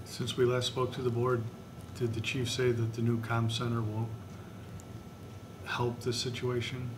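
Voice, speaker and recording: A man taking part in a board meeting, speaking in a measured way as he discusses and asks questions. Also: monotone. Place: indoor board meeting room. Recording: noisy, echoey meeting room with rumble.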